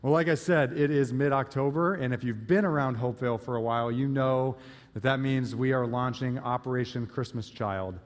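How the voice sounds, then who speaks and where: A man, an outreach pastor, giving casual church announcements with frequent pauses and fillers. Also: mid-range voice, fairly even intonation. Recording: long reverb of a church hall.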